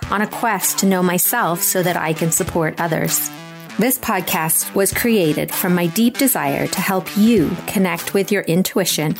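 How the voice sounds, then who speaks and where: Woman, raspy, gravelly, low-pitched, gentle, unhurried, intuitive reader, podcast host. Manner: gentle, unhurried, imagery-rich. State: raspy voice today. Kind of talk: guided intuitive reading, descriptive.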